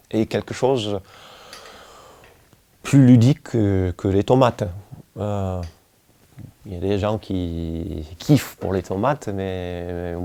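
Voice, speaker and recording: A man in his forties from the United States with an American accent, speaking haltingly and searching for words in an interview. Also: deep voice, warm tone. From United States, Kentucky. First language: English. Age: 40s. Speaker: winemaker. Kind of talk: relaxed documentary interview, telling life story.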